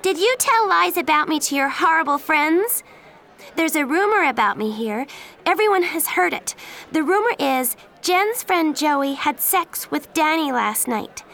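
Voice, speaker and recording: A woman voicing an angry, defiant teenage girl who speaks high and sharp. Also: lively intonation, unhurried, gravelly, heavily acted.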